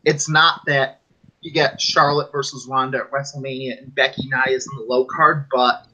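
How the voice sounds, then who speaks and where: A man's voice: mid-range voice, little pitch movement, normal speed, bright, forceful tone. A man co-hosting a casual wrestling podcast, rambling and argumentative as he makes his case. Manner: rambling, argumentative, mimics fans in quotes. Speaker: podcast co-host. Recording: dull lo-fi audio.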